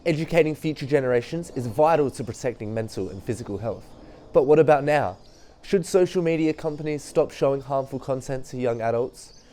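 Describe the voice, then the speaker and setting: A young man reading scripted documentary narration in an earnest, measured way. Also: medium pitch, warm tone, a little hiss or hum.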